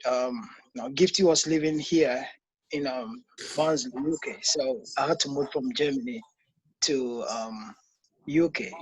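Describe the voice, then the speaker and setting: A man with a Ghanaian accent, speaking thoughtfully in a halting way with many pauses and ums. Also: mid-range voice, husky, muffled recording. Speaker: interviewee, church member. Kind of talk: casual spoken reflection.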